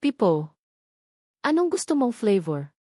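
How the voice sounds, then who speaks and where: A gentle, friendly man of means from a narrated story, played by a woman. He speaks as if to a child, in a dry, close-sounding recording. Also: a little high, very animated, a little slow, dry recording.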